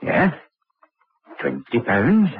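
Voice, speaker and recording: Pompous professor, wildly swooping pitch. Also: male voice, sing-song, very slow, breathy edge, no echo, heavily acted. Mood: furtive, shrewd. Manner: fussy, hesitant, then imperious. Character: pompous, evasive anatomy professor, middle-aged man. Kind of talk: old radio drama acting. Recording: tinny, band-limited vintage radio recording.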